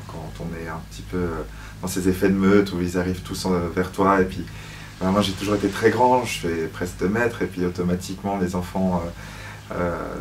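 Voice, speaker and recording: A man with a low, fairly flat voice speaks reflectively in an interview. He hesitates often as he searches for words. The microphone sits at some distance from him. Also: slight background noise. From Switzerland (Lausanne), born in Paris. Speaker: musical theatre actor, interview guest.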